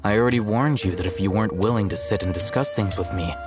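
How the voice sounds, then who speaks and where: A man voicing a composed, formal young scholar in an anime game, articulate and quietly threatening, with music behind. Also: on the low side, close to an everyday voice. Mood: cool, accusing. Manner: formal, deliberate, coolly assertive. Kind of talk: English anime game voice acting.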